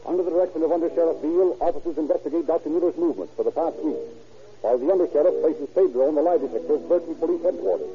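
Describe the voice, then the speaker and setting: A man's voice: on the high side, very fast, phone-quality sound. A male announcer narrating a radio drama in an urgent, emphatic way. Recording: thin old radio broadcast.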